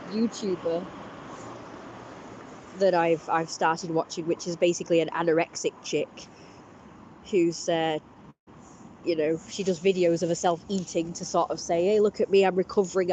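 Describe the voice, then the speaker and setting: A woman vlogging casually with a blocked-up, congested voice. She talks in a halting, rambling way, full of restarts and fillers. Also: lowish voice, dark tone, dull audio, slight background noise. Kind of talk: casual personal vlog talk.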